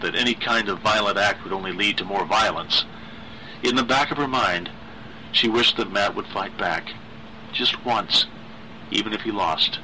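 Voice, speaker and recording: A man narrates a horror story in a dramatic style. His voice sits a little low but is strikingly bright and forceful. A faint noise runs behind the recording. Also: poor audio.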